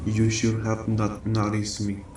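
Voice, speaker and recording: A man's voice: fairly low pitch, monotone, unhurried, a little mellow, lightly acted. A man playing a menacing nightmare figure who hunts children in an amateur radio drama, speaking in a threatening way. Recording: roomy echo with music underneath.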